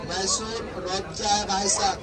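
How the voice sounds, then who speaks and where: A man's voice: high voice, steady tone, slow pace. A man selling cold drinks at an outdoor market, calling out prices in a sing-song rhyming chant over dense crowd noise. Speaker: drink seller. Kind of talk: rhyming street hawker calls. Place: busy outdoor street market. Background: dense crowd and traffic.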